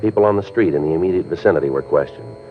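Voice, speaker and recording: Terse police detective, extremely low voice, brisk delivery, deadpan, courteous, vintage radio drama. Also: male voice, faint music behind. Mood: matter-of-fact. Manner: deadpan, clipped, matter-of-fact. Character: terse, polite, businesslike police detective narrating his case. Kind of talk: old-time radio drama acting and narration. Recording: muffled vintage radio recording.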